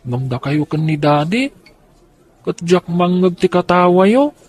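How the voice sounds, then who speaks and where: Radio drama role: scheming, eager young son played by a man, high voice, Filipino accent. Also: lively intonation, measured pace, a little mellow. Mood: cheerful, curious.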